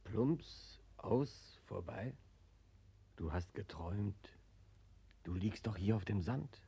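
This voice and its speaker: A man playing a mysterious otherworldly companion who observes humans with wry mockery, deep and husky, in a 1940s radio play. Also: lively intonation, dark tone, full character voice. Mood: dry, questioning. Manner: wry, mocking, deliberate. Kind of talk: 1940s German radio acting. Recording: dry 1940s radio studio recording.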